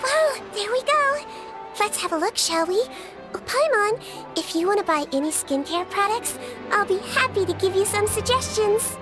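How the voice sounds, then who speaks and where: A woman voicing a small, sweet, girlish creature in a video game, childlike, gentle and polite. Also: very high-pitched, lively intonation, moderate pace. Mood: helpful. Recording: music behind the voice.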